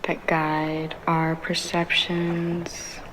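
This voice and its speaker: Very slow, soothing woman guiding meditation. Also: fairly low pitch, monotone, background noise. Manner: soothing, unhurried with long pauses between short phrases. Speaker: guided meditation instructor. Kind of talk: guided yoga nidra meditation, ASMR. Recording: waves and rain sound clearly behind. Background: ambient ocean waves and rain.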